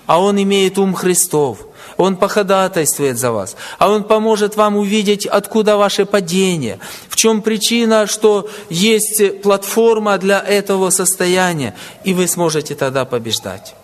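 A man's voice: high-pitched, moderate pace, big-room sound. A man preaching a sermon to brothers and sisters, weaving in stories of church members he met. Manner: tells anecdotes, quotes people.

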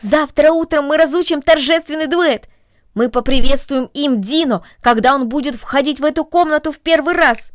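Storybook role: enthusiastic, gushing young girl, played by a woman, high-pitched. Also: moderate pace, no echo, studio quality, close to an everyday voice. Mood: eager, urging. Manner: exclamatory, gushing. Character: excitable, enthusiastic young girl who loves singing.

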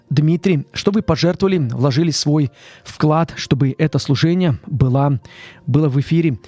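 Guy doing a church radio pledge drive, earnest, keeps saying friends, soft music under him. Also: fairly high pitch, no echo. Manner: earnest, repeats "friends" often, halting restarts. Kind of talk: live radio fundraising appeal.

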